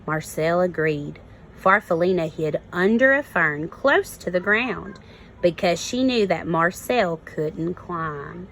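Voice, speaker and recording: Woman, kindergarten teacher, storybook read-aloud with simple asides, gravelly fry, slow delivery. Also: on the low side, expressive, fairly bright tone, slight background noise, poor audio. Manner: simple explanations addressed to young children.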